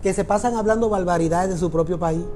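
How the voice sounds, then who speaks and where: A man's voice: sits high, fast talker, mellow, faint music behind. A middle-aged man from Puerto Rico with a Puerto Rican Spanish accent, preaching a sermon and repeating phrases for emphasis. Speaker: pastor.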